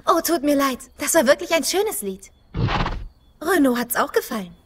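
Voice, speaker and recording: A woman's voice: sits high, very animated, normal speed, background noise. A woman playing a cheerful, curious young horse lover in a cartoon audio play, polite and eager. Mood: politely curious. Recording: music under the voice.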